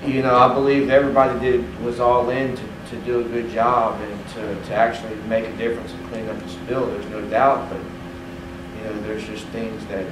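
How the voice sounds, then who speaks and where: A man's voice: medium pitch, little pitch movement, normal speed, hardly any pauses, distant mic, background noise. A man on a seafood-industry panel, talking in loose, filler-heavy sentences. He sounds set back from the microphone. The hotel meeting room echoes, and an air conditioner hums behind him. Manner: rambling with many "you know" fillers. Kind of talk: panel Q&A answer. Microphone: distant room mic.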